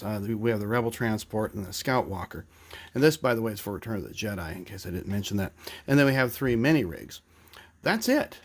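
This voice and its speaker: A middle-aged man with a stuffy, congested voice, casually showing his collection and rambling with fillers and restarts. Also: medium pitch. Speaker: toy collector presenting. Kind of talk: casual show-and-tell.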